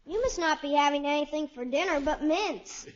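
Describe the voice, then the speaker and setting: A woman's voice: high voice, normal speed, natural voice. A woman playing a small, innocent, eager little boy with a guileless, childlike manner in 1950s radio comedy. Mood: frank. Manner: guileless, plain-spoken, childlike. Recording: muffled vintage 1950s radio broadcast.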